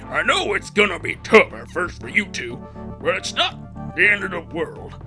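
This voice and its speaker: A man's voice: high-pitched, big pitch swings, moderate pace, gravelly, music in the background, full character voice. A man voicing a kindly, firm cartoon dad with a hearty, encouraging fatherly manner.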